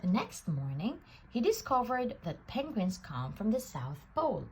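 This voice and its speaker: A woman reading a children's picture book aloud in an expressive, deliberate way, drawing out words for effect. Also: mid-range voice, slight background noise, poor audio. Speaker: storybook reader.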